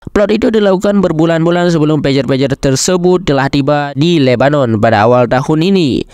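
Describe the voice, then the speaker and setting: A man's voice: on the high side, brisk, a little mellow, clear, resonant tone, no room sound, clean studio sound. A man reading scripted news narration in a formal, explanatory manner.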